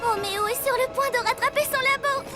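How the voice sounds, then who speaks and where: Eager, high-pitched superhero girl. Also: fairly quick. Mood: remorseful. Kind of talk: animated children's cartoon acting. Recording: music under the dialogue.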